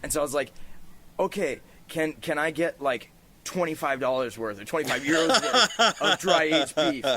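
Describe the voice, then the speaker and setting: A man's voice: a little high, moderate pace, bright, forceful tone. A man hosting a podcast in casual, informal chat, telling stories with some swearing, recorded outdoors on a porch. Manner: informal, profane, anecdotal. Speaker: podcast host. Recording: outdoor ambient noise on a porch.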